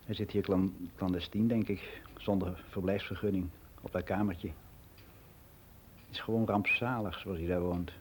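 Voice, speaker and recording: A man's voice: low-pitched, steady tone, normal speed, slight background noise, lightly acted. An actor playing a world-weary middle-aged man who rambles in a frank, confiding way in a radio play monologue. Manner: rambling, confiding, matter-of-fact.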